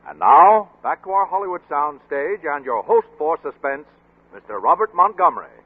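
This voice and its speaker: A man's voice: fairly high pitch, sing-song, moderate pace, breathy edge. A man with an American accent announcing in 1940s radio style, formal, dramatic and projected. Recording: tinny old radio recording with music.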